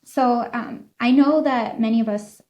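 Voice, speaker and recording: A woman hosting a casual interview, warmly affirming and chatty, posing long questions. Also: a little high, unhurried, lo-fi sound. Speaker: interview host.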